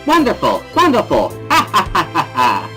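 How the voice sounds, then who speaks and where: Visual novel role: loud, bubbly high school girl played by a man, high-pitched, very animated, music underneath. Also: normal speed, heavily acted. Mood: exuberant. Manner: exuberant, talkative, boisterous laughing. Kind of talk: amateur dramatic reading of a visual novel.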